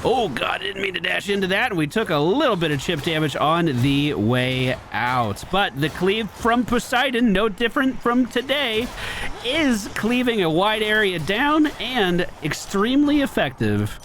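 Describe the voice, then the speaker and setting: A man's voice: high-pitched, expressive, moderate pace, fairly bright tone, slight background noise. A man hosting a gaming video, chatting and thinking aloud analytically as he plays. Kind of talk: gameplay commentary. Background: faint game music.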